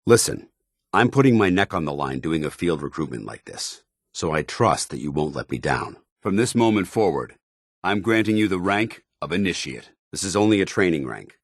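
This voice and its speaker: Deep-voiced American military commander. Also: male voice, very animated, dry recording, clean studio sound, exaggerated voice. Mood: firm, proud. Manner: formal, measured military diction. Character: stern, earnest, duty-bound male military commander. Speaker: voice actor in a video game. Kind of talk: video game companion dialogue.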